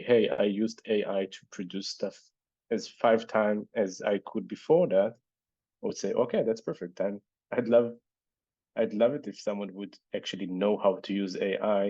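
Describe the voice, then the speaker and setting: A man with a Hebrew accent and a fairly low, mellow voice hosts a casual online panel. He thinks aloud and hesitates, restarting his sentences. The sound is dry and a bit dull, like a lo-fi video call. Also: moderate pace, no room sound. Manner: thoughtful, hesitant, many fillers and restarts. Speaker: event host reading audience questions.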